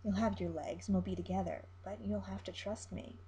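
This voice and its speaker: A woman's voice: medium pitch, steady tone, fairly quick, warm tone, husky. Lady doing a manipulative ship captain guy in a story, coaxing, controlling. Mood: hushed coaxing.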